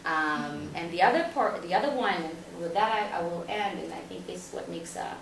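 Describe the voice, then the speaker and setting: A woman gives a personal conference talk, speaking haltingly with frequent restarts and fillers. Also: lowish voice, creaky. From Israel. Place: conference room without windows. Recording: echoey room from far off, faint noise.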